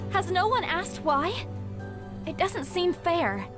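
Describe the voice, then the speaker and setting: A woman's voice: very high-pitched, very animated, slow pace, exaggerated voice. A woman voicing an earnest, fair-minded young female mage-knight in a fantasy visual novel game. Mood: puzzled, protesting. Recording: music behind the voice.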